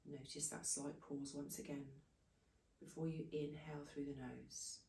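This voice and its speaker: A woman guides a breathing meditation in short, unhurried phrases. Her voice is mellow and soothing, with very little rise and fall. It sounds roomy and echoey, as if picked up away from the microphone. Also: sits low, level tone, a little hiss or hum. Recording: echoey room, distant from microphone.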